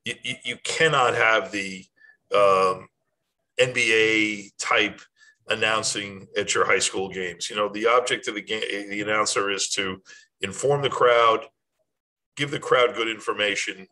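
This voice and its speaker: A man's voice: on the low side, fairly even intonation, measured pace, pushed and bright, poor audio. An older man hosting a short advice series, talking informally and instructively in a plainspoken way straight to the listener. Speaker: host of a short athletic administration advice series. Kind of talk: informal talk to camera, instructional.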